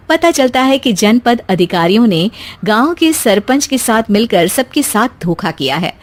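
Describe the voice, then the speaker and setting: A woman storyteller reading scripted narration for a moral story. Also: mid-range voice.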